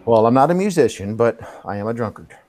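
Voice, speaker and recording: A man's voice: medium pitch, expressive, fast, a little mellow, slight background noise. A man in his fifties hosting a casual live stream chat, rambling, swearing and losing his place. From Texas. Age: 50s. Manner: rambling, swears, loses track. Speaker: host.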